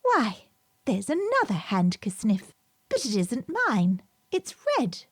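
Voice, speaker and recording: A woman voicing a curious, polite, excitable little girl in a storybook, exclaiming and asking questions with wide-eyed wonder. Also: mid-range voice, slow pace, husky, no room sound, studio quality, exaggerated voice. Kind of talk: storybook character reading.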